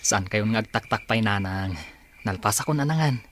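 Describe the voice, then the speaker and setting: A man's voice: on the low side, lively intonation, moderate pace, close to an everyday voice. A man playing a humble, grateful blind singer in an audio drama, speaking politely and earnestly. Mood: respectful, reassuring. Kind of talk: Ilocano audio drama acting. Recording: faintly noisy track with cricket sounds.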